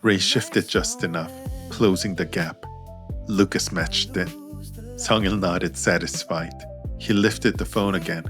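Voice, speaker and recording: A man narrating scripted fiction as an audiobook, in a measured, understated manner. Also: low voice, steady tone, music in the background. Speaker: author and narrator.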